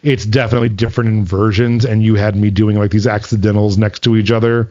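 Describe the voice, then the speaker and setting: A man's voice: fairly low pitch, flat delivery, fast talker, dark tone. A man co-hosting a podcast in casual chat, talking loosely and laughing often as he tells stories. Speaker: podcast co-host and band's keyboard player. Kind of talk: casual chat reacting to old videos. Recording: slightly dull lo-fi sound, faint music behind.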